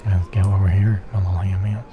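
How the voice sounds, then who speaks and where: A man giving soft-spoken ASMR gameplay commentary in a murmur, repeating pet phrases, close to the microphone. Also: deep voice, flat delivery, fairly quick, narrow, tinny audio. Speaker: video game streamer. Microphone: close stereo microphone. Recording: faint keyboard typing noise.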